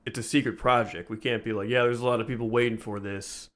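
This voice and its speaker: A man's voice: mid-range voice, fairly even intonation, fast, fairly bright tone. A man co-hosting a casual podcast, talking with dry, sardonic exaggeration. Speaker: podcast co-host. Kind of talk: casual behind-the-scenes podcast chat.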